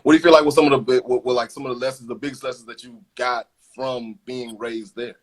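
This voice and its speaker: A man's voice: medium pitch, fairly even intonation, fast, poor audio. A young man hosting a casual interview, chatty and laid-back, full of slang. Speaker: host.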